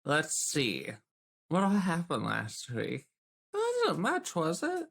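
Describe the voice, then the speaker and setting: Woman on a game podcast, slow talker, wry, just chatting. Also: low voice, wildly swooping pitch, creaky, fairly bright tone. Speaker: player on a role-playing podcast. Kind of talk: casual chat.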